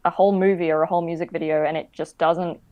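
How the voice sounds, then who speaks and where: A woman's voice: fairly low pitch, steady tone, fast talker, phone-quality sound. A woman from Queensland, an actor talking as a podcast guest, hesitant with many fillers. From Queensland, Australia. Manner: hesitant, many ums, laughs while talking. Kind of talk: casual interview.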